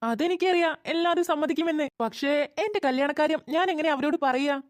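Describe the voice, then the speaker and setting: A performer voicing a shy young man in a cartoon, coaxing and pleading in a pitched-up voice. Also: a little fast, no room sound, clean studio sound. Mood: bashful pleading. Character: shy, coaxing young bachelor older brother. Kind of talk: cartoon voice acting.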